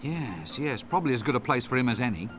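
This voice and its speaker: An actor playing a bumbling, cowardly, wisecracking wizard in an adventure game, with a British accent and exasperated, sarcastic delivery. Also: male voice, mid-range voice, sing-song, fast, background noise, natural voice. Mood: wry. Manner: wry, sarcastic asides. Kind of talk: point-and-click adventure game voice acting. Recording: game music behind the voice.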